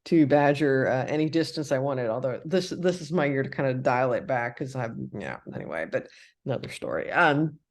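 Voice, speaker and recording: A man's voice: mid-range voice, animated, normal speed, vocal fry. A man in his sixties from the Midwest, hosting a casual interview with a hesitant, filler-heavy way of talking. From American Midwest. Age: 60s. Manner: friendly, hesitant, many fillers and trailing sentences. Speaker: podcast host.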